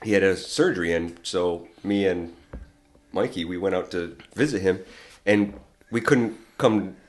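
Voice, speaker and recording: Low-voiced man, a bit hoarse, rambling. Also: measured pace. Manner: rambling storytelling with many likes. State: voice strained and hoarse. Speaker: podcast co-host. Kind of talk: casual film discussion.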